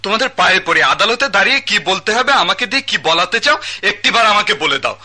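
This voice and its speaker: An actor playing a spoiled, sheepish young son who mumbles and hesitates, in a radio play. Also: male voice, high voice, expressive, quick pace, bright, forceful tone, full character voice. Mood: pleading.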